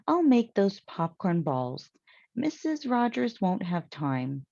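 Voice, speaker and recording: A woman's voice: medium pitch, sing-song, slow pace, creaky, mellow, no echo, dull audio, full character voice. A woman voicing a cheerful, naive, literal-minded housekeeper from a children's storybook, earnest and exclamatory. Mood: musing, upbeat.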